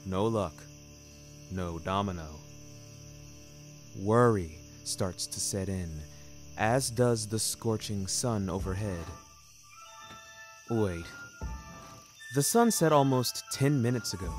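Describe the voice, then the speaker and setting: A man's voice: on the low side, expressive, takes it slowly, pauses often, faint music behind. A young man reading scripted first-person fiction, carefully phrased, in a literary and dramatic manner. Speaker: narrator and author of a fiction podcast.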